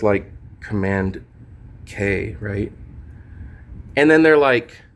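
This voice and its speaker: A man chatting informally and ramblingly to camera, with slangy, sweary asides as he shows things off. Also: mid-range voice. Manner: informal, swearing, slangy, talking as they demonstrate gear. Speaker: vlogger and amateur songwriter. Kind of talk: casual rambling chat to camera.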